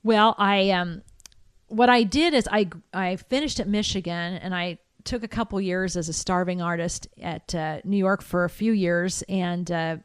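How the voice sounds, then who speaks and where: Picture a middle-aged American woman recalling her years of music training in a relaxed chat. Her speaking voice sits a little low for a woman, and it comes across dry and close. She talks in a reflective way, with many restarts and fillers. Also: normal speed, smooth, dry recording. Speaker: interview guest, soprano singer. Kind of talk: relaxed conversational interview.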